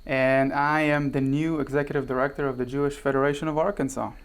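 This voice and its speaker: A man from Israel, a guest on a talk show, explaining his background in a measured way with frequent "right?" asides. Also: mid-range voice, little pitch movement, clean tone. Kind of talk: casual interview chat. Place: television studio.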